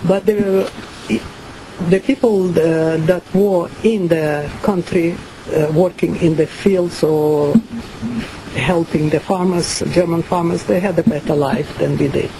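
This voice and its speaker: An older woman from Ukraine with a Ukrainian accent, giving slow, halting personal testimony full of fillers and pauses. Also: fairly low pitch, steady tone, warm tone, a little hiss or hum, rough recording. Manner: many pauses and fillers, non-native phrasing. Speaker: survivor giving a talk.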